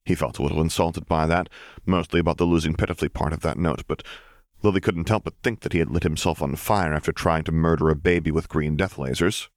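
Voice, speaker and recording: A man's voice: extremely low pitch, steady tone, fast, no echo, studio quality. A man reading scripted fiction as an audiobook narrator.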